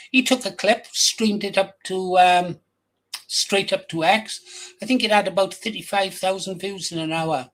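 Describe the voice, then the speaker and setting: Older Welsh-accented male host with a slightly high voice, blunt and rambling, off-the-cuff talk to camera. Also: fairly bright tone, low-quality recording. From England, raised in Wales from age ten. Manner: blunt, crude, rambling with restarts. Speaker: channel host. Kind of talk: casual rambling monologue.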